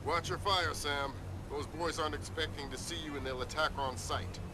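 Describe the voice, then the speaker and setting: An actor playing an authoritative male spy-agency commander in a video game, giving briefings in a crisp, commanding, slightly wry way. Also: a little high, steady tone, moderate pace, noisy background, natural voice. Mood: grave, commanding.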